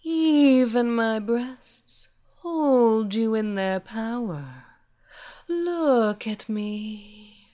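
A woman voicing a seductive, domineering female villain who hypnotizes her captive, speaking in slow, sultry, repetitive phrases with breathy whispers. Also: a little high, lively intonation, mellow. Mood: sultry, commanding. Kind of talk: erotic hypnosis audio story.

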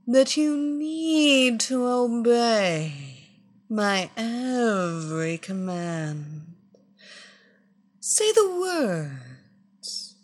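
A woman voicing a young female hypnotist, slow and coaxing as she gives commands. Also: fairly high pitch, full character voice.

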